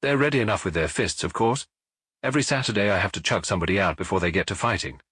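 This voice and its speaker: A man's voice: fairly low pitch, quick pace, a bit bright, slightly rough. A man voicing a chatty, knowing dance-hall proprietor with a familiar, confiding manner in an audiobook. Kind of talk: audiobook character dialogue.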